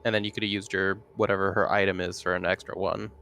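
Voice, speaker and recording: Gravelly man chatting over a tabletop game. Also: low voice, little pitch movement, quick pace, sharp tone. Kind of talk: casual game-table chat. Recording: music playing behind the voice.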